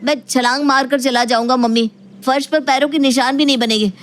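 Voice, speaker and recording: A woman's voice: sits high, steady tone, a little fast, natural voice. A woman playing an exasperated grown son in a family audio story, pleading and sarcastic. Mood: pleading, urgent. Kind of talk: Hindi audio story acting.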